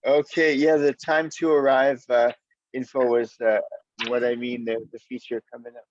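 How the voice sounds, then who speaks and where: A man hosting an online open mic casually and appreciatively, in halting, hesitant phrases, heard over dull call audio. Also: mid-range voice, flat delivery, dry recording. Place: online video event.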